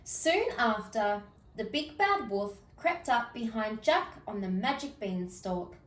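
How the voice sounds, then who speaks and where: A woman reading a children's fairy tale aloud in an expressive storytelling style. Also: fairly high pitch, slow pace, reverberant, recorded from a distance. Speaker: storybook reader.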